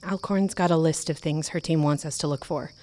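A woman acting in an audio drama as a caring, steady advocate and old friend. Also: deep voice, fairly quick, close to an everyday voice. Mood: reassuring.

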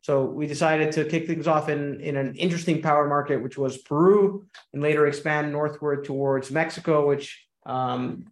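A man's voice: a little high, echoey room. A man from Venezuela, a company head talking as a podcast guest, hesitant, with many ums and restarts. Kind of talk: podcast interview.

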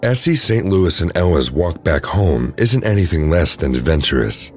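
A man narrating an audio drama from a script, setting each scene. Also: sits low.

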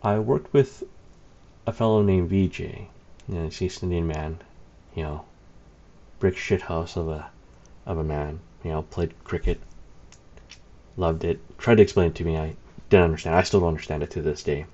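Man, very low dark voice, rambling anecdotal storyteller, dull lo-fi sound. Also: moderate pace, pauses often. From Canada. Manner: rambling, many fillers, wry laughs. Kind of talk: casual anecdote telling. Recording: dull lo-fi recording.

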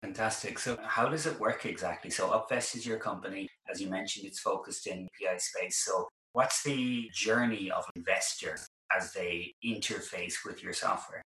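A man's voice: low-pitched, moderate pace, pushed and bright, a little hiss or hum. A man hosting a podcast, reading a polished intro and asking questions in an upbeat way. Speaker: podcast host. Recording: roomy echo.

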